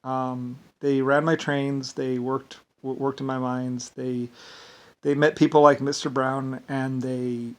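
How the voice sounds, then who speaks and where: An American man with an Irish-sounding lilt, talking in a rambling, meandering casual monologue. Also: medium pitch. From United States. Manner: rambling, meandering, leans on "basically".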